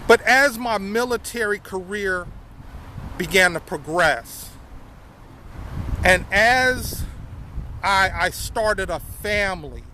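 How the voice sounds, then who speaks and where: Slow, bright-voiced man in his fifties. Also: sits high, animated, slight background noise. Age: 50s. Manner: repeats phrases, addresses viewers as y'all. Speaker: vlogger. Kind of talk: casual storytelling to camera.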